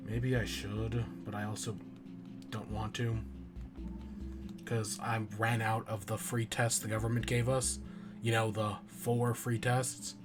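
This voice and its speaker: A man with a head cold, his nose stuffed and his throat raspy, chats offhandedly while he plays a game on stream. He rambles and pokes fun at himself in an ordinary mid-range voice. Faint music plays softly behind him. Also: medium pitch. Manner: rambling, offhand, self-mocking. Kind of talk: casual chat while playing a game.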